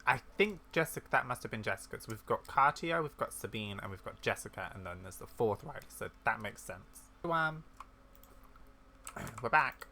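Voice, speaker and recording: A gaming video host giving casual, excitable, self-deprecating commentary while playing. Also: mid-range voice, normal speed. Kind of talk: casual gameplay commentary, reading game dialogue aloud. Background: music behind the voice.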